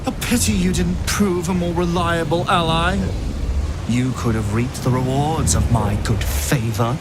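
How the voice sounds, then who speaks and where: An actor playing an arrogant, scheming wizard villain in a fantasy video game, pompous and theatrical. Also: male voice, on the high side, steady tone, measured pace, over loud music, lightly acted. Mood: condescending menace. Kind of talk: dramatic video game acting. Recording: loud noisy ambience.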